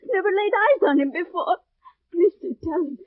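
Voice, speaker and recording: A woman's voice: very high-pitched, animated, moderate pace, no room sound. An actress playing a proud, desperate young noblewoman with earnest, melodramatic delivery in an old radio drama. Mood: tearful pleading. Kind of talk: 1940s-1950s radio drama acting. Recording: dull old radio recording.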